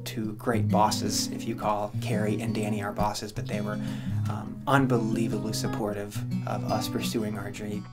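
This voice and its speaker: A man, a singer-songwriter, chats in an interview in a level, even voice, pausing often with ums as he speaks earnestly. Guitar music plays clearly beneath his talk. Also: fairly low pitch. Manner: earnest, many filler pauses. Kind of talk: casual interview chat. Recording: music playing underneath.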